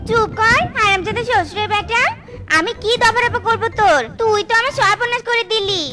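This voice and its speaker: A woman's voice: very high voice, brisk. A woman voicing a bossy, furious wife who scolds and threatens in an exaggerated comic cartoon. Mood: angry, scolding. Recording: loud music behind.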